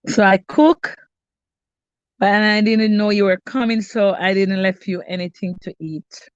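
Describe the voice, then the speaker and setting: A woman's voice: mid-range voice, measured pace, no room sound, muffled recording. A middle-aged Jamaican woman hosting a cooking live stream, chatting casually with viewers by name. She sounds stuffy and congested and often restarts her sentences. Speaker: cooking channel host.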